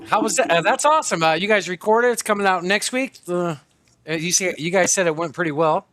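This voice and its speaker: A man hosting a sports podcast, casual and hyped as he plugs his guest. His voice is high for a man, and the sound is dry and close, with no room echo. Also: a little fast, a bit bright. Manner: hyped, plugging his guest, many fillers. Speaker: podcast host.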